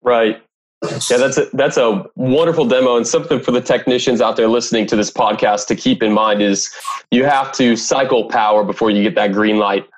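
A man hosting a podcast, asking questions in a conversational technical interview style. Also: mid-range voice.

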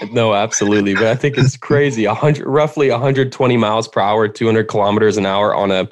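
A man's voice: on the low side, brisk. An American man with an American accent, chatting casually as a podcast host in a loose, rambling way. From United States. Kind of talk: casual interview chat.

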